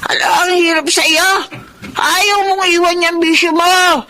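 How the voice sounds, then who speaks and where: Fretful high-pitched old Filipino grandmother. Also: little pitch movement, slow, sharp tone, slight background noise, exaggerated voice. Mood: exasperated scolding. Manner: querulous, repetitive, stammering. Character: fretful, scolding elderly Filipino grandmother with a soft heart. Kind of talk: radio drama acting.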